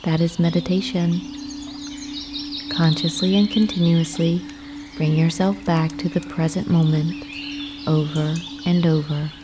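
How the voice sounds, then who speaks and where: A woman narrating a guided meditation, giving gentle, soothing instructions with unhurried pauses. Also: deep voice, flat delivery, noisy background. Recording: clear nature ambience with birdsong. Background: birdsong and nature ambience.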